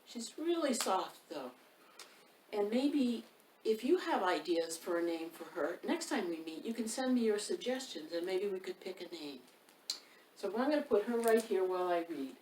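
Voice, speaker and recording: A woman's voice: medium pitch, expressive, moderate pace, darkish tone. A woman hosting a children's storytime, reading a picture book aloud and putting questions to her young listeners. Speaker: author and storytime host. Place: indoor room by a wood stove. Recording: roomy, distant mic, faint noise.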